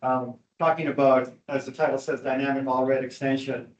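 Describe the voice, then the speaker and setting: A man presenting at a public meeting with a loud voice and a joking manner. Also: mid-range voice, steady tone, fairly quick. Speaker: traffic safety presenter. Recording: dull, echoey meeting room audio.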